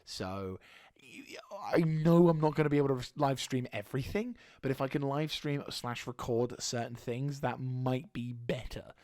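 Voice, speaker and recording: A man with a slightly hoarse throat giving a casual channel update as a gaming YouTuber, rambling and halting with frequent restarts. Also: medium pitch, a little mellow, dry recording.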